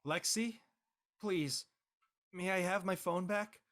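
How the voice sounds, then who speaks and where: A man playing an exasperated, reluctant high-school boy in an amateur script reading, with a whiny, protesting manner. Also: slow, fairly bright tone. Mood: pleading. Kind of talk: amateur audio drama table read.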